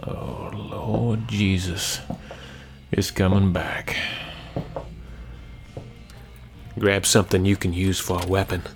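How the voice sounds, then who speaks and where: Folksy older trucker, protective and wry, low gravelly delivery, audio drama. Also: male voice, level tone, a little slow, faint music behind. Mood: tense, defiant. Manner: folksy, drawling storyteller, wry. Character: gritty, folksy older male trucker protecting two young women.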